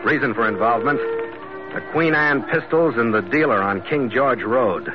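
An actor playing a laconic, steady sea captain narrating his adventures in 1940s radio drama, clipped and matter-of-fact. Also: mid-range voice, normal speed, natural voice. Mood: brisk, official. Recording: muffled old radio recording, music behind.

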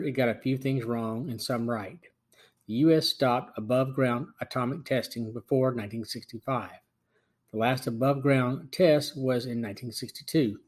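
A man narrating a scripted review as the host of a show. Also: medium pitch.

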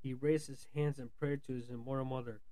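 A man's voice: medium pitch, steady tone, a little fast, dark tone, dry recording, rough recording. A man reading an epic poem aloud as a dramatic narrator, now and then stumbling over a word. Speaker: podcast host reading aloud.

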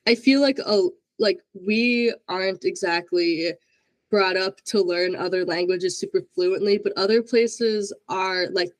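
A woman's voice: mid-range voice, a little slow, dry recording. A woman hosting a podcast interview, upbeat and enthusiastic, praising her guest often. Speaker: podcast host. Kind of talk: casual interview chat.

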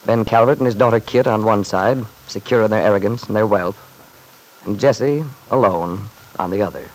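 A man's voice: on the low side, moderate pace, poor audio. A man narrating a classic radio soap opera in a scripted, dramatic storytelling style.

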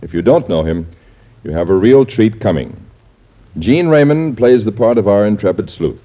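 A man's voice: on the low side, lively intonation, normal speed. An American man reading a scripted promotional announcement in classic mid-century radio announcer style. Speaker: network announcer. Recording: dull vintage radio recording.

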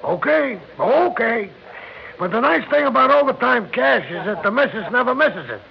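An actor playing a boisterous, teasing, beer-loving construction worker in an American radio drama, slangy and jovial, with an American accent. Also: male voice, extremely high pitch, lively intonation, moderate pace, vocal fry, full character voice. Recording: muffled old radio recording with hiss.

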